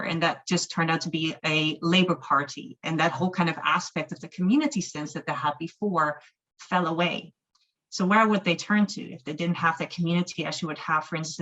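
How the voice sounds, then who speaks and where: A woman's voice: sits low, a bit bright. A Dutch-born humanist chaplain with a mixed, Irish-sounding accent, explaining her field earnestly in a webinar interview, with frequent ums and restarts. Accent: mixed Dutch, with an Irish-sounding lilt. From Netherlands. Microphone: video call. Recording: dull lo-fi video call audio.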